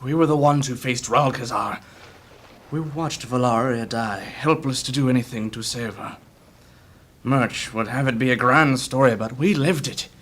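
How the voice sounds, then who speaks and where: A man playing an emotional old adventurer haunted by a friend's death, speaking gravely and haltingly in a fantasy audio drama. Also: medium pitch, fairly bright tone, close to an everyday voice. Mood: anguished.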